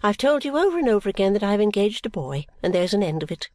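Woman playing a briskly dismissive, detached mother. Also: medium pitch, big pitch swings, darkish tone, dry recording, close to an everyday voice. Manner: airy, unsentimental, brushing things off. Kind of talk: audiobook character acting.

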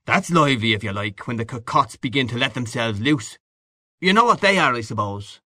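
A man's voice: mid-range voice, animated, fairly quick, bright, forceful tone, dry recording, studio quality. A man voicing a brash, worldly Irishman in an audiobook, boastful and hearty, patronising an old friend with emphatic delivery. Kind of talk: literary audiobook character dialogue.

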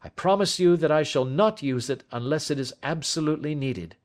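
A calm, authoritative detective from an audiobook, acted by a male narrator. The voice sits raised in pitch, with crisp, deliberate delivery. The manner is precise and gently grave. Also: close to an everyday voice. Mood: businesslike. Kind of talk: audiobook character acting.

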